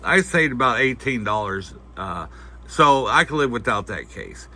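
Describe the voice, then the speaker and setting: Male reviewer, off-the-cuff and folksy, with a very sharp, bright tone and halting, rambling delivery. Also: medium pitch, lively intonation. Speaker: product reviewer. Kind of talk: casual unscripted gadget review.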